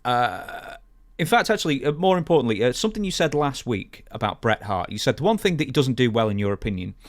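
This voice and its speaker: A man's voice: medium pitch, very animated, fast talker. A man co-hosting a podcast, asking questions and clarifying points in a casual discussion. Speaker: podcast co-host.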